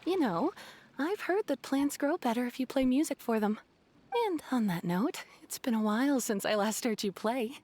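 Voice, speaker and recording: An actress voicing a friendly woman who runs a flower shop in a video game, speaking in a welcoming, gentle way. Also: a little high, animated, moderate pace, a little hiss or hum, close to an everyday voice.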